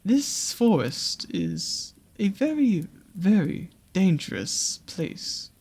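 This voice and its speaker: A seductive naga monster of a dark forest, acted out by a performer, speaks very slowly in a husky, breathy voice. The lines swoop and curl with teasing menace, coaxing the listener in an intimate audio roleplay. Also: mid-range voice, huge pitch swings, exaggerated voice. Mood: teasing, possessive. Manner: slow, coaxing, teasing, drawn-out phrasing.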